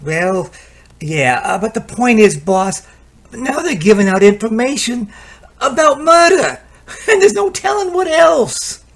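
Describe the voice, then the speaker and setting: A man's voice: very high-pitched, animated, unhurried, heavily acted. A man playing a weary, exasperated maintenance crew chief in a radio drama, grumbling and sardonic. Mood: worried, insistent.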